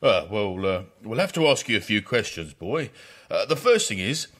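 A man's voice: lowish voice, very animated, normal speed, a bit bright. A man playing a puzzled, suspicious police officer with an official, questioning manner.

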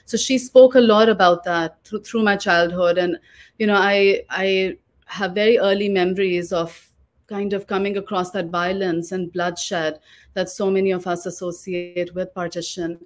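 A woman's voice: on the low side, steady tone, moderate pace. A woman, talking reflectively and articulately as an interview guest on a video call. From Lahore, Pakistan. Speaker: guest oral historian and author. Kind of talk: reflective webinar interview talk. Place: living room at home, video call. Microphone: video call microphone.

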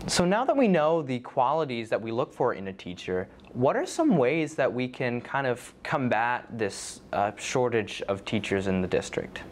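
A man's voice: mid-range voice, very animated, moderate pace, few pauses, slight background noise. Earnest young male student host. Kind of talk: interviewing and scripted narration.

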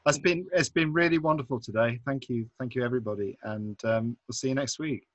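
A man in his forties hosting a discussion group on a video call, in a friendly, brisk organising manner. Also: mid-range voice, dry recording, highs cut off. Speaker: meeting host. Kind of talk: casual video call chat. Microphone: video call laptop mic.

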